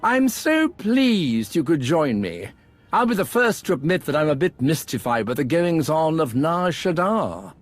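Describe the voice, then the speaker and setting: Fretful, high-voiced scholarly archaeologist man. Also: very animated, a little slow, faint music behind. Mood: bemused, anxious. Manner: wordy, bookish, polite phrasing. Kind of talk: video game cutscene acting.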